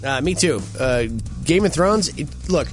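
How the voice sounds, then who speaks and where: A man's voice: a little high, faint music behind. A man with a nasal Chicago accent hosting a morning radio show, bantering and joking with his co-host. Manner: animated, jokey, quick to laugh.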